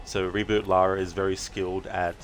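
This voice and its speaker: A man giving casual, reflective livestream commentary as a game streamer, speaking haltingly in short phrases. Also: sits low, little pitch movement, faint music behind.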